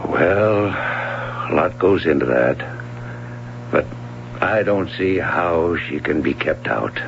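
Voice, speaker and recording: An actor playing an evasive, offhand swimming coach questioned by a police sergeant in an old radio drama. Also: male voice, low voice, lively intonation, takes it slowly, vocal fry, heavily acted. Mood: guarded. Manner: casual, evasive, chuckling. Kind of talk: 1970s radio drama acting. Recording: muffled old radio broadcast with hum.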